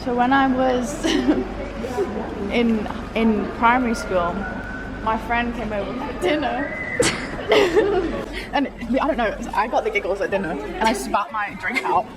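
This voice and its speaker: A woman's voice: on the high side, lively intonation, a little slow, long pauses, low-quality recording. A young woman telling a school story, giggling. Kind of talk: casual storytelling. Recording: loud crowd and noise.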